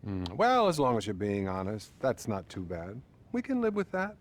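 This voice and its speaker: An actor playing a patronizing male therapist, measured and placating, in a naturalistic video game style. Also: on the low side, wildly swooping pitch.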